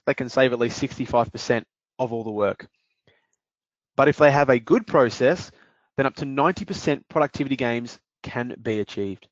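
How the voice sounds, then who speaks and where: A man presenting and walking through a software demonstration in a steady explanatory way, with occasional fillers. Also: mid-range voice, no room sound. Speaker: product presenter. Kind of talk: software demo walkthrough. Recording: dull dry lo-fi audio.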